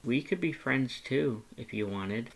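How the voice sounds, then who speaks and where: Casting: a narrator as a friendly shelter worker, understated, a little sheepish, audiobook dialogue at a middling pitch. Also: animated, measured pace, creaky, breathy edge, full character voice, rough recording. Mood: hesitant, friendly. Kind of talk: second-person character dialogue in audiobook.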